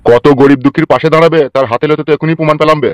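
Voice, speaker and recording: A man's voice: on the high side, steady tone, racing, no echo, close to an everyday voice. A man with a rural West Bengal accent voicing a sly, cantankerous old villager in a theatrical, crude, scolding way for a comic cartoon. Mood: indignant. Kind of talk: fast comic cartoon dialogue.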